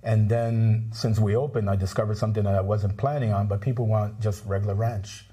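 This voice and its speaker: A middle-aged man from the Bronx, telling stories as a guest in a TV studio interview, relaxed and laughing often. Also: fairly low pitch, brisk. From the Bronx, New York. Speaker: restaurant owner, guest. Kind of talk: casual interview chat.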